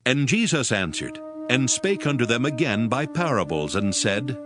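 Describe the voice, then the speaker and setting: A man's voice: mid-range voice, sing-song, moderate pace, music in the background. A man narrating scripture from a script for an audio drama.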